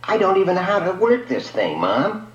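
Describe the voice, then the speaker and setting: A man's voice: sits high, wildly swooping pitch, normal speed, pitched above the performer's own voice. A man voicing a reluctant, whining young boy in a futuristic radio story, protesting in slangy, sulky phrases. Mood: whining, balking. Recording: dull lo-fi audio, echoey room.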